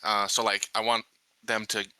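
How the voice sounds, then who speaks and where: A man's voice: fairly low pitch, level tone, moderate pace, bright, forceful tone, clear, resonant tone, no room sound, rough recording. A man casually talking through plans as a player in a tabletop role-playing game.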